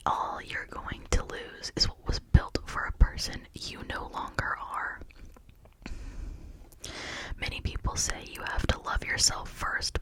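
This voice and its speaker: A person speaking in a breathy, unvoiced whisper, rambling in a confiding, personal way for an ASMR video.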